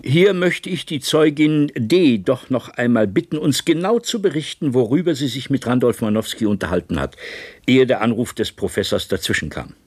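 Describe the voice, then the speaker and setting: A sober, troubled official recounting events in a 1970s German radio drama. His voice is husky and animated, formal and deliberate, recorded dry and close. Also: medium pitch, no room sound. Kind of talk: 1970s German radio play acting, narrated testimony.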